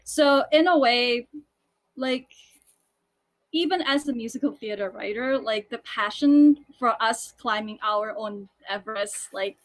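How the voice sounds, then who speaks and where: A woman's voice: on the high side, measured pace. A woman who grew up in Thailand, a musical theatre composer, chatting on a video call with many fillers and restarts. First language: Thai. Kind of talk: casual video call chat.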